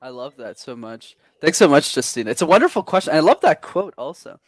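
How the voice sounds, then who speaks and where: A man's voice: fairly quick, no echo, clean studio sound. A man coaching on public speaking during a webinar, talking energetically and with emphasis over a video call. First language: not English. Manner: energetic, emphatic, lively pitch swings. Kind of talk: webinar advice talk.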